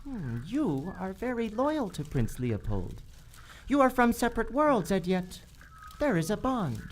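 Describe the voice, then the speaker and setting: A woman playing a solemn, menacing island leader in live radio theater, measured and cryptic. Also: medium pitch, sing-song. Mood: knowing, menacing. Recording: live stage recording, faint background noise.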